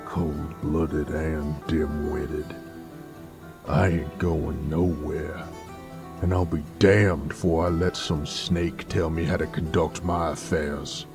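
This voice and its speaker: A very deep, slow Southern American drawl from a man acting as a cocky werewolf bounty hunter of the Old West. He is gruff and sardonic, tossing out taunts over faint music. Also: flat delivery, exaggerated voice. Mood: defiant, sardonic. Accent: American Southern drawl, Western style. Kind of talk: Western audio roleplay acting.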